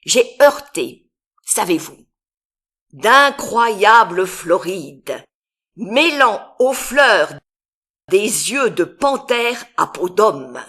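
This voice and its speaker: Woman reciting poetry very slowly. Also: medium pitch. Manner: expressive, deliberate, with long pauses between phrases. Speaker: poetry reader. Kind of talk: dramatic literary poetry recitation.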